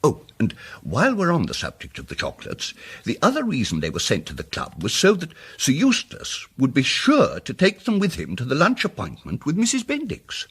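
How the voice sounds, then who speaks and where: An actor playing a mild, muddled amateur detective in a radio drama, speaking with hesitant, fussy precision and old-fashioned politeness. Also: male voice, medium pitch, wildly swooping pitch, moderate pace, slightly rough, full character voice. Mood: earnest, explanatory. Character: mild, muddled, apologetic male amateur detective explaining his deductions.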